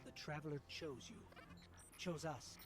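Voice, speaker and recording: A man voicing a small, loyal robot companion in a video game, earnest, measured and encouraging. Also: a little high, over music, lightly acted. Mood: earnest, hopeful.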